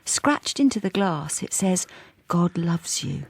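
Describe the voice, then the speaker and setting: Low-voiced, downtrodden lonely wife. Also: female voice, sing-song, slow pace, creaky, husky, no room sound, heavily acted. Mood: bleak, haunted. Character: lonely, downtrodden wife, quietly bleak and withdrawn. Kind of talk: first-person radio drama narration.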